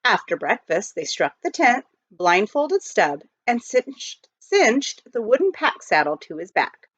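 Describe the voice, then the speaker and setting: A woman reading a children's novel aloud in an expressive storytelling style. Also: on the high side, a little slow, slightly rough. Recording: dull lo-fi home recording.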